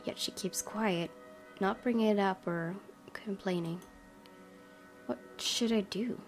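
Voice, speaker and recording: A woman's voice: mid-range voice, slow. Woman reading a game aloud, music behind. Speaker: gaming video host. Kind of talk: reading visual novel narration aloud, with casual commentary.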